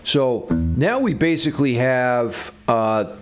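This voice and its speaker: A man's voice: mid-range voice, sing-song, takes it slowly. A man giving a casual, informal music theory lesson as a guitar instructor, thinking aloud as he demonstrates. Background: electric guitar playing.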